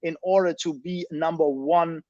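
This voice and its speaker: A man's voice: a little high, steady tone, normal speed, warm tone, dry recording. A man discussing business in an emphatic, explanatory way, often repeating and rephrasing himself, on dull lo-fi audio.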